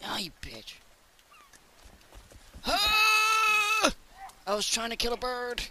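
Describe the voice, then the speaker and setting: A young man chatting casually as he plays a co-op survival game, joking and slangy, with excitable delivery. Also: very high-pitched, slow, background noise. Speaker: gamer, video host. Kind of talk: casual chat while playing a co-op survival game.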